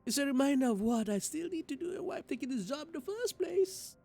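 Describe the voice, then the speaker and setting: A high-voiced man reads game text like a stage narrator, swinging expressively through his lines. He is excitable and theatrical, and game music plays faintly behind him. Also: quick pace, gravelly. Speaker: game streamer. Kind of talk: livestream playthrough, dramatic read-aloud of visual novel narration.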